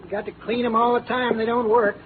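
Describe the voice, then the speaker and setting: A man's voice: extremely high pitch, flat delivery, fairly quick, crowd noise. Simple-minded, menacing old man, tinny recording. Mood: childlike, rambling. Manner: childlike, rambling, repeats himself. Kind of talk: 1950s radio drama acting. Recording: tinny, noisy 1950s radio broadcast.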